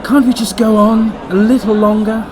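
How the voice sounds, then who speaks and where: A man's voice: high-pitched, level tone, moderate pace, natural voice. Ardent lover played by a man, crowd behind. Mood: pleading, wistful. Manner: effusive, lingering. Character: ardent, wistful male lover of a married actress. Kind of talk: acted short-story reading. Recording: crowd noise in public room.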